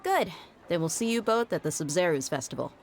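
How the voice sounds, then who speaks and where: A woman's voice: on the low side, animated, brisk. A woman playing a young, streetwise mercenary in a video game, casual, frank and confident. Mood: easygoing. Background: game music.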